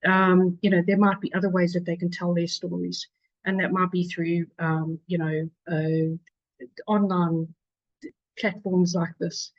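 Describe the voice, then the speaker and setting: A woman's voice: on the low side, flat delivery, a little slow, dry recording, highs cut off. A woman with a South African accent presenting her charity in a webinar, hesitant with many fillers. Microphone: video call.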